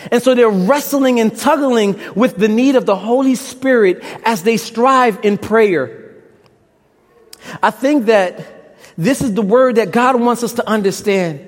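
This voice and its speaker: A young man from Brooklyn with a Brooklyn accent preaching a sermon on a campus, with impassioned rhetorical questions and a little casual slang. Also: very high-pitched. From Brooklyn, New York. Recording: long reverb in a large hall.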